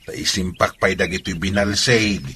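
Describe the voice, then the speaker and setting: A man's voice: low voice, steady tone, normal speed, sharp tone, slight background noise. A man acting a fond elderly rural grandfather in a radio drama, with an Ilocano accent. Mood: warm, welcoming.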